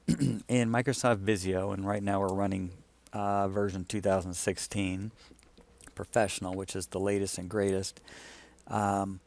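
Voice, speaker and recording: Low-pitched man giving a casual walkthrough. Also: creaky, darkish tone. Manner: informal, thinking aloud as he demonstrates. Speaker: client explaining a design project. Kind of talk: casual screen-share walkthrough.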